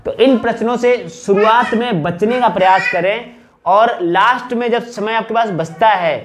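Guy in his 30s with a pretty high voice, teaching exam prep, emphatic, talking right to students. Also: normal speed, noisy background. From northern India. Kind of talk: explanatory exam-prep lesson at a whiteboard. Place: small indoor room with whiteboard.

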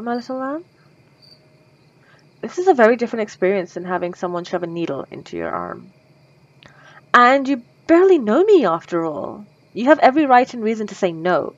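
A woman voicing a refined, possessive female vampire centuries old, speaking in a formal, old-fashioned way in a soft, intimate ASMR roleplay. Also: a little high, sing-song, brisk, no room sound, muffled recording. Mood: courteous, eager.

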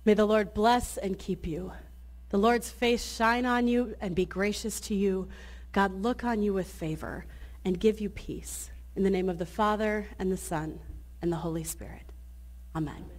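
A woman's voice: mid-range voice, normal speed. A woman pastor in her thirties speaks at a church lectern, inviting the congregation along in a friendly, plain voice. She starts over often as she talks, and the lectern microphone picks her up in the sanctuary. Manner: friendly, inviting, with many restarts. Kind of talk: church announcements and benediction.

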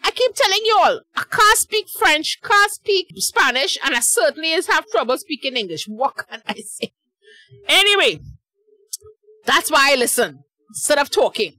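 A woman's voice: sits high, big pitch swings, moderate pace, bright, forceful tone, no room sound, rough recording. A middle-aged woman with a Trinidadian accent hosting a reaction channel, chatting casually to viewers and laughing at her own slips. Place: small dark home studio. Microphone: large studio mic with headphones.

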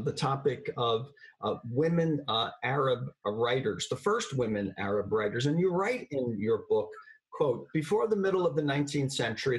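Halting older man hosting an interview. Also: mid-range voice, poor audio. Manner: halting, hesitant delivery. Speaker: interviewer, host. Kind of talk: formal interview. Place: indoor room, video call. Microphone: video call.

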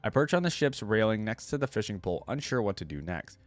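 A man's voice: on the low side, very animated, quick pace. A young man reading a story aloud and commenting casually as a reaction video host. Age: young adult.

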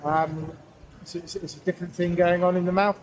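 A man's voice: high-pitched, flat delivery, fairly quick, highs cut off. A middle-aged man chatting casually for an outdoor vlog, rambling hesitantly and correcting himself as he goes. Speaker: pipe-smoking vlogger. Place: outdoors in a wooded valley. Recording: outdoor ambient noise, camera at a distance.

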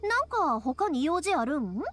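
A woman's voice: high voice, very animated, unhurried, fairly bright tone, heavily acted. A woman playing a cheerful, curious young woman in a video game, with a friendly manner and a Kansai accent. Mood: friendly, easygoing. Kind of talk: Japanese video game voice acting. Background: background music.

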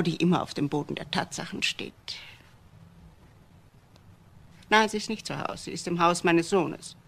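An older actress playing a wealthy, bitter widowed mother in a German crime radio drama, speaking deliberately and dramatically with pauses. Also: lowish voice. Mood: guarded, firm. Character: old wealthy widowed mother, bitter about her son.

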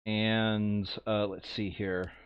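A man's voice: on the low side, level tone, very slow, clear, resonant tone, phone-quality sound. A man playing a tabletop game online, switching between casual chat and reading game text aloud. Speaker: board game player reading out scenario text.

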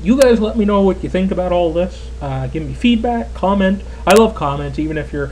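A man's voice: sits high, expressive, brisk, a little mellow, slight background noise, poor audio. A Canadian man in his twenties giving casual, rambling gameplay commentary as a let's player. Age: 20s. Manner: chatty, rambling, lots of fillers.

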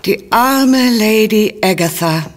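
An actress playing a kindly, doting, gossipy old cook in a radio play. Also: female voice, medium pitch, expressive, slow pace. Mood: sorrowful, defensive. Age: older. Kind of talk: German radio play acting.

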